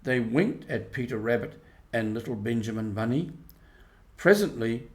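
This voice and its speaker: A man with a medium-pitched voice reads a children's story. He delivers it as scripted storybook narration. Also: animated, moderate pace. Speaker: storybook reader.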